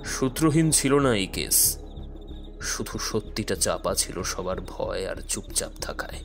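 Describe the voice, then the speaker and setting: An actor playing a calm, enigmatic detective in an audio thriller, speaking in a measured, deliberate, brooding way. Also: male voice, lowish voice, vocal fry. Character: calm, enigmatic male detective who works in the shadows. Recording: dramatic music under the voice.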